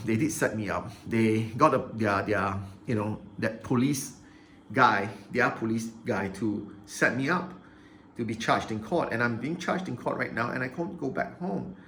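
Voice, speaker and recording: A man's voice: medium pitch, fairly bright tone, a little hiss or hum. A man ranting into the camera about his grievances, agitated, repeating himself and stumbling over restarts. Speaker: vlogger. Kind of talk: aggrieved personal rant to camera.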